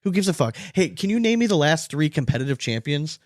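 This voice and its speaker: A man's voice: fairly high pitch, very animated, fast talker, no room sound, studio quality. A man co-hosting a casual sports podcast, ranting combatively with lots of swearing and emphatic repetition. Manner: combative, profane, repeats himself for emphasis. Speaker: podcast co-host.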